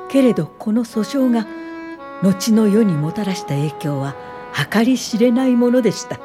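Mellow, composed female social worker. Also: on the low side. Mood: reflective. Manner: measured, formal, steady. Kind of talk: radio drama narration and acting.

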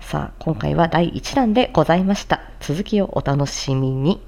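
A woman voice performer with a soft, mellow tone that sits a little low. Her intonation swings widely as she presents and demonstrates recitation practice. She pays close attention to how each phrase is articulated. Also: animated, moderate pace, vocal fry. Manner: articulation-focused, demonstrating and explaining tricky phrases. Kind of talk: casual explanatory talk with formal script recitation.

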